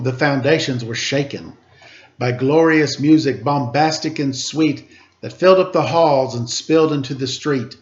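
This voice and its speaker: A man reading aloud to children as a storyteller, with theatrical, drawn-out emphasis and casual asides. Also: a little high. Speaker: storybook reader, teacher. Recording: muffled lo-fi recording.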